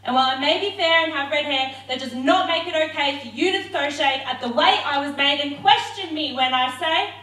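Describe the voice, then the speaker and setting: A woman's voice: sits high, brisk, few pauses. Defiant woman performing spoken word. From Australia. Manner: rhythmic, defiant, forceful delivery. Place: theatre stage. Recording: roomy hall, mic at a distance.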